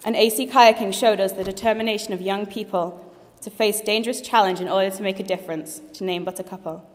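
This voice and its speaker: A woman's voice: medium pitch, fairly even intonation, fast. A young woman in her late teens, a graduating student, giving a heartfelt formal graduation speech with a few light jokes in a large assembly hall. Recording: long reverb of a large hall.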